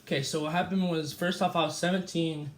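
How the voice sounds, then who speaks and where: A man's voice: on the high side, steady tone, lightly acted. A young man acting as an easygoing, famous male escape artist who chats through a mock interview, with a slightly raised voice. He stumbles over words and says "uh" a lot. The room around him is echoey. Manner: casual, halting with fillers and slips.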